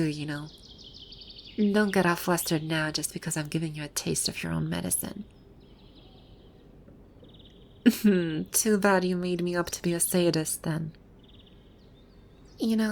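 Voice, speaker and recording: Woman playing smug, low-voiced game villainess boss. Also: slight background noise. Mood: teasing, smug. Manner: smug, teasing, soft-spoken with breathy asides. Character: teasing, proud video game villainess boss brought to life, secretly vulnerable. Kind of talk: intimate ASMR roleplay to the listener. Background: birdsong and outdoor ambience sound effects.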